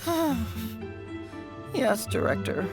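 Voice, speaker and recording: A woman's voice: sits high, sing-song, drawn-out words, gravelly, over music, full character voice. A woman playing a timid, weary assistant in a video game, hesitant and meek. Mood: hesitant, resigned. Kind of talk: English video game voice acting.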